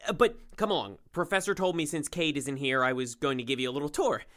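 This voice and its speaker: A man's voice: fairly high pitch, fast, a bit bright, lightly acted. A man playing an awkward, eager, bumbling young lab assistant in an audio drama, stammering and correcting himself. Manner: flustered, stammering, self-correcting.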